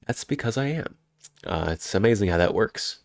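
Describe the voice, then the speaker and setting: A man's voice: lowish voice, animated, normal speed, creaky, dry recording, studio quality. A man with a head cold, sounding congested, chatting casually and rambling on a live stream. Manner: rambling, self-interrupting, chuckling.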